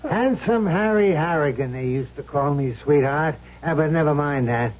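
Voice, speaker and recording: An actor playing the ghost of a brash, wisecracking gangster in a 1970s radio drama, talking in tough, curt, bossy slang. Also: male voice, fairly high pitch, normal speed, lightly acted. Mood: brash, impatient. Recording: muffled old radio broadcast.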